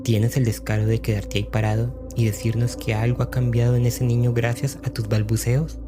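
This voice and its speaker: A man's voice: mid-range voice, level tone, moderate pace, music in the background, lightly acted. A man voicing an angry, scornful doctor, growling with indignation in an audiobook.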